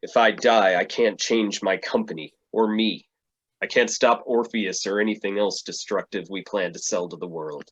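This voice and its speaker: A man's voice: lowish voice, normal speed, a bit bright, lo-fi sound. A man reading the part of a guilt-ridden tech superhero in a staged play reading, with an anxious delivery. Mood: remorseful.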